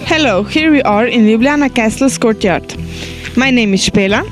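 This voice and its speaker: A woman's voice: a little high, lively intonation, normal speed, rough recording. Casting: woman, student presenter, careful with small slips, music bed. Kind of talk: scripted presentation. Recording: music playing under the voice.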